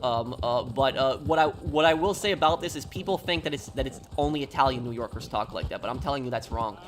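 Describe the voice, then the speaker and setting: A man's voice: mid-range voice, quick pace. An American man from New York chatting casually on a game livestream, rambling and often restarting his phrases. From New York, United States. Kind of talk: casual livestream chat while gaming.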